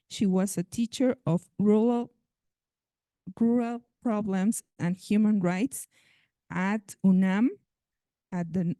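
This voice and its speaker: A woman hosting, introducing the guest speaker in a halting way. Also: medium pitch, warm tone, no room sound. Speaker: host.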